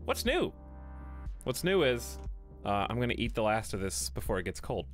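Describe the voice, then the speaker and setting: A man's voice: mid-range voice, very animated, normal speed. A man with a cold, chatting casually with viewers while live-streaming a game from home on a desk mic. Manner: chatty, greets viewers, jokes. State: has a cold. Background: faint game music.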